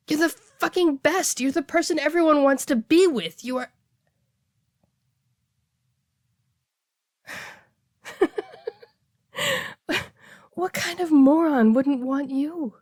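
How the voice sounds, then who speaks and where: A woman playing a casual, blunt heartbreaker friend in an intimate audio roleplay, talking conversationally with hesitant, expressive delivery. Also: high voice, slow pace, no room sound, clean studio sound. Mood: indignant, admiring. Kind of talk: intimate one-sided audio roleplay, naturalistic dialogue.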